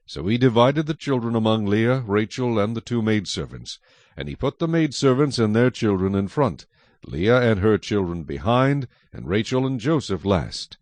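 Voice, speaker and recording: A man narrates scripture in a solemn, measured way. His voice is on the low side and recorded dry, with no room around it. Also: animated, darkish tone, dry recording.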